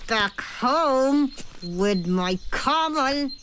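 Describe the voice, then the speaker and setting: A prissy, sarcastic young witch from a period drama. Her highish voice swings widely as she draws out snippy complaints. There is faint noise behind her. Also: expressive, extremely slow, a bit bright, heavily acted. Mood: whiny, snide. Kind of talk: period audio drama acting.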